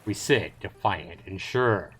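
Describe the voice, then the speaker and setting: A man's voice: low-pitched, slow, fairly bright tone. A man voicing a salesman-like seafaring trader who tells sea yarns in a theatrical, cajoling way over music. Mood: boastful, suspenseful. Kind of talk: dramatic storytelling.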